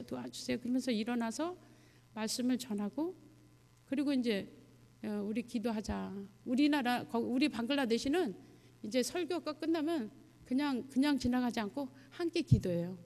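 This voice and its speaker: High-pitched woman preaching in a reverberant church. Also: darkish tone. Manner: conversational, halting, puts questions to listeners. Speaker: missionary guest preacher. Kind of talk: testimony sermon, mission report to a congregation. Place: church sanctuary, addressing a congregation. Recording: long hall reverb, church sound system.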